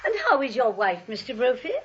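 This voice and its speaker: A woman's voice: fairly high pitch, very animated, brisk, slightly rough. A woman playing a sweet, fussy, genteel old aunt in a 1940s radio play. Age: older. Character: sweet, hospitable dotty old spinster aunt. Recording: dull old radio recording.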